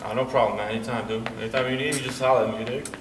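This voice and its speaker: A man's voice: medium pitch, fairly even intonation, very fast, noisy background. A man in his late twenties from Bosnia, a wrestler talking casually as a guest, with a laid-back manner and constant "you know what I'm saying" fillers. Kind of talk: casual interview chat. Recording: roomy echo from a distance.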